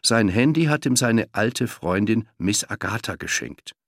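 A man narrating a children's audiobook in a lively storytelling style. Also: fairly low pitch, a little slow, no echo, clean studio sound.

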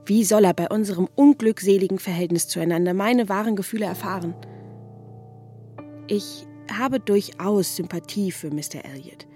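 A woman acting a gentle, thoughtful gentlewoman in her twenties in a German radio play, earnest and restrained. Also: lowish voice, natural voice.

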